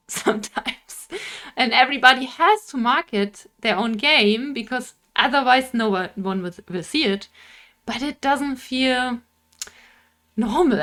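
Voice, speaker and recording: A woman's voice: a little high, fairly bright tone. A young woman with a Bavarian German accent, an indie game developer talking casually to camera, laughing often and searching for words.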